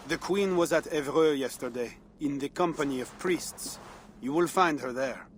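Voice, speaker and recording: A man's voice: a little high, moderate pace, slight background noise, close to an everyday voice. A man playing a worldly, flamboyant spymaster in a video game, self-assured and theatrical. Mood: smug, knowing. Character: worldly, hedonistic Frankish male spymaster, smug and flamboyant. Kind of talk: video game dialogue acting.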